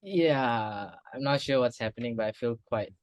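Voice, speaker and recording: Quiet guy hosting a podcast, stumbles and restarts a lot, dry close mic sound. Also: medium pitch, normal speed, no room sound, muffled recording. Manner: hesitant, restarts often, soft-spoken. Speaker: podcast host. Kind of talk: casual chat.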